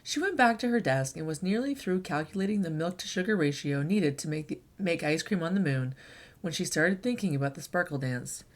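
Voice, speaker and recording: A woman reading a children's picture book aloud in an expressive storyteller's delivery. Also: fairly low pitch, brisk.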